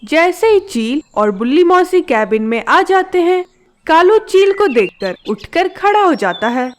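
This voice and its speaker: High-pitched woman narrating a children's story. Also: big pitch swings, measured pace.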